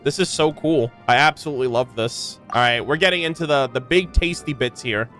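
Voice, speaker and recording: A man streaming casual reaction commentary to his chat, enthusiastic and expressive, with plenty of slang. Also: mid-range voice, a little fast, soft background music. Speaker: streamer reacting to game voice lines.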